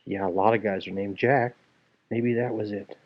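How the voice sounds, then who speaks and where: A man's voice: fairly low pitch, fairly even intonation, normal speed. A man reading a story aloud to a child, expressively, with casual asides. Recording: dull lo-fi home recording.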